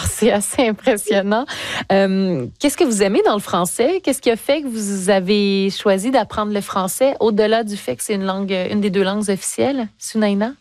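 A woman's voice: medium pitch, a little mellow, no echo. A woman leads a broadcast interview in an encouraging, friendly manner. Her voice sits in a mid register. The sound is dry and close. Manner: friendly, encouraging, light laughs. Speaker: radio host.

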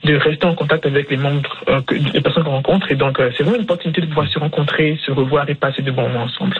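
A woman's voice: low voice, steady tone, quick pace, slightly rough. A young woman giving hesitant, conversational answers as a guest on a radio panel. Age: young adult. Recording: muffled lo-fi radio link.

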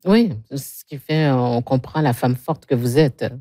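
A woman interviewing a guest, asking gentle questions and affirming her answers. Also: rumbling low, unhurried, dry recording, clean studio sound.

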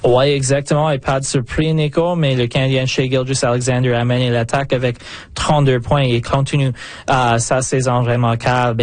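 A man's voice: medium pitch, no room sound. A man giving a live radio sports update as a reporter, stumbling over words now and then.

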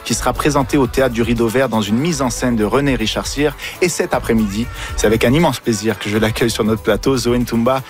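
A man's voice: medium pitch, fast, few pauses. Guy hosting a radio show, engaged, reacts a lot, music faint under him. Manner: engaged, reacts often to the guest. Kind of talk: radio interview. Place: radio studio.

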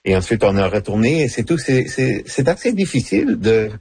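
A middle-aged man, a novelist whose first language is English, speaking French haltingly in a radio interview with many fillers and restarts. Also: mid-range voice, big pitch swings, darkish tone, breathy edge, no echo. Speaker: novelist guest.

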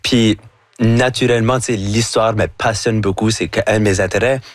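A man's voice: on the low side, steady tone, normal speed, no echo, studio quality. A young man with an Acadian French accent, answering interview questions casually and slipping English words and fillers into his French. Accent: Acadian French, chiac. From Acadia, Canada. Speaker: drag performer, guest.

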